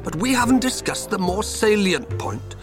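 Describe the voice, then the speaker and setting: A teasing, charming politician. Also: male voice, high voice, big pitch swings, moderate pace, music in the background. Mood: persuasive. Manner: teasing, persuasive. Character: witty, genial male politician wooing doctors. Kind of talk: radio drama acting.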